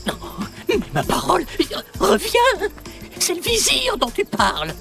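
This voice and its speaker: A woman's voice: extremely high pitch, wildly swooping pitch, measured pace, gravelly, fairly bright tone, faint music behind, exaggerated voice. An actress playing a worried, sensible townswoman in a French children's cartoon. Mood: alarmed.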